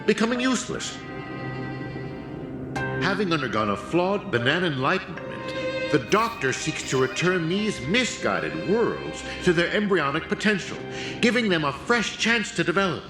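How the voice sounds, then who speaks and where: An actor playing a pompous, condescending research scientist in a video game, lecturing theatrically. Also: male voice, fairly high pitch, sing-song, normal speed, echoey room, music in the background. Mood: scornful, zealous. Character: pompous second-class male research scientist, grandiose and condescending. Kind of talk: dramatic video game dialogue.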